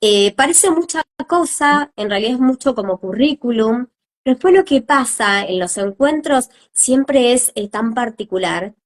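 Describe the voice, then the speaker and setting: A woman's voice: a little high, expressive, measured pace. A woman co-hosting a live talk, giving a casual explanatory presentation.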